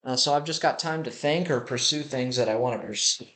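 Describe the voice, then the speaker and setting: A man's voice: mid-range voice, a little fast, bright, forceful tone. A man with a slight lisp rambling through a personal audio journal in a musing, self-deprecating way, recorded on a built-in laptop mic while walking around a house. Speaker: vlogger. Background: dogs' claws clicking.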